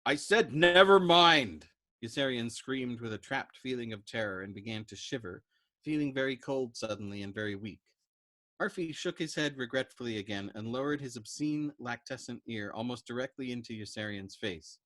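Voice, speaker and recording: A man reading a novel aloud in an expressive storytelling style. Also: medium pitch, brisk, dry recording. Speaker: storytime reader. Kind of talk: expressive audiobook narration of a novel.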